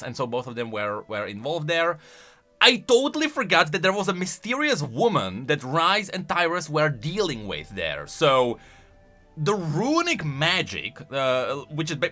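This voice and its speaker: A man delivers casual commentary in a bright, fairly high voice. His pitch swings widely as he thinks aloud and stresses points emphatically. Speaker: YouTube lore commentator. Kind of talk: casual, semi-improvised commentary.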